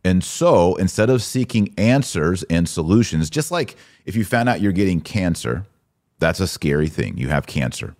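A man's voice: low voice, sing-song, moderate pace, darkish tone. A man hosting a podcast, giving opinionated religious commentary in an emphatic, exhorting manner with rhetorical questions. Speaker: podcast host.

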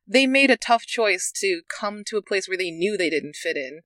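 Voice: mid-range voice, expressive, moderate pace, sharp tone, no echo. A speaker in a casual group discussion, reflective and earnest.

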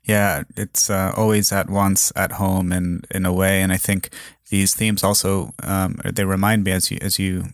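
A man hosting an interview, asking measured, halting questions. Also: low-pitched, flat delivery, dry recording, studio quality. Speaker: interviewer host. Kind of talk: interview conversation.

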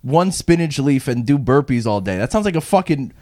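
A man's voice: mid-range voice, big pitch swings, fairly quick, darkish tone, no room sound, studio quality. A young man co-hosting a podcast, congested from illness, joking crudely and arguing back in casual banter. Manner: crude, self-deprecating, argumentative. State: congested, recovering from covid. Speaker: podcast co-host.

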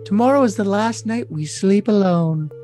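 A man's voice: sits high, animated, moderate pace, dark tone. A man voicing a lovesick, scheming young woman in a cutesy, coy, overly dramatic comic reading of messages. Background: music.

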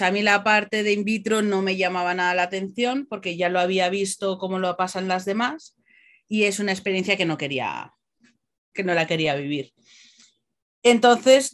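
A woman from the Basque Country, telling personal stories casually as a podcast guest, acting out bits of dialogue. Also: medium pitch. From Basque Country, Spain. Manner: chatty, animated retelling with quoted dialogue.

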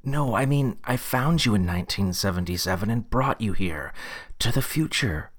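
A man acting an eager, awkward guy, animated delivery. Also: lowish voice, moderate pace. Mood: explaining, proud. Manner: earnest, slightly hesitant. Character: eager, awkward young man, a disco-obsessed time traveller. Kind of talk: acted dialogue in an audio story. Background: music.

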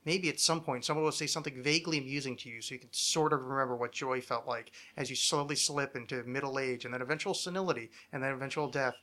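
A man's voice: mid-range voice, fast, few pauses, pushed and bright. A man in his thirties chatting casually and ramblingly as a podcast host, with a wry, mock-dramatic, self-deprecating manner.

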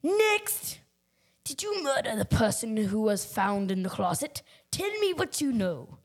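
A woman's voice: on the high side, very animated, unhurried, full character voice. A young girl playing a bossy, suspicious police detective who questions bluntly in an amateur radio play. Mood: commanding. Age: child or teenager.

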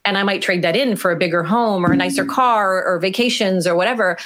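A woman author being interviewed, talking in an animated, emphatic way with small fillers. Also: medium pitch, quick pace. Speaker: author and guest. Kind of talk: conversational interview answers.

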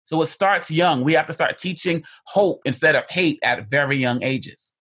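A man's voice: on the high side, normal speed, a bit bright. A man answering interview questions earnestly, stressing his points by repeating key phrases. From United States. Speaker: guest, educator on hate and extremism.

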